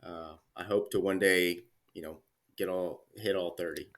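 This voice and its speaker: A man in his thirties, a guest chatting casually in an interview, relaxed with many fillers and restarts. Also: low voice, normal speed. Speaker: Army career manager guest.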